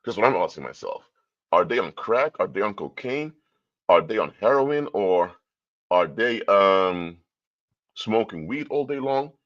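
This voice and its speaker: A man's voice: lowish voice, lively intonation, a little slow, lo-fi sound. A man from Curaçao, giving a livestream talk in a lecturing tone full of rhetorical questions. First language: Papiamento. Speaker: commentator. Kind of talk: livestream commentary.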